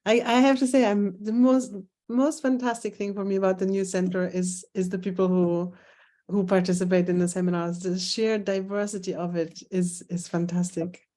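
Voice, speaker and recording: A woman lecturer leading an online seminar over a video call, thinking aloud with halting restarts. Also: mid-range voice, level tone, mellow.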